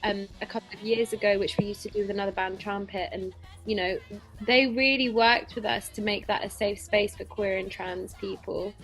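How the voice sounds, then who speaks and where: Articulate female band member, medium pitch, earnest conversational answers, soft music behind. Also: steady tone, moderate pace, poor audio. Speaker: band member being interviewed. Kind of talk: casual interview chat.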